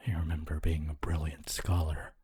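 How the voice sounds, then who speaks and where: A man voicing a gentle, wistful ghost of a long-dead scholar in a slow, formal, breathy ASMR roleplay monologue. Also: level tone, heavily acted. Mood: wistful, reflective. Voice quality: low, breathy, husky. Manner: slow, deliberate, formal phrasing with long pauses.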